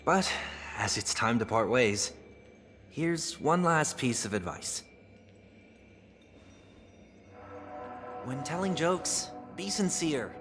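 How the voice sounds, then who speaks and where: An actor playing a calm, courteous and melancholy young man in a game cutscene, speaking in a measured, formal way. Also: medium pitch, big-room sound. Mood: gently reflective. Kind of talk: scripted game cutscene dialogue. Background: music.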